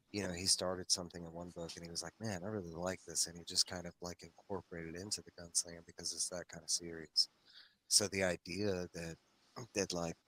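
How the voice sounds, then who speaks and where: Low, bright-voiced man rambling enthusiastically. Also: low-quality recording. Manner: enthusiastic, meandering, circles back on phrases. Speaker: host of a comics read-along discussion show. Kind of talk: casual discussion, rambling explanation.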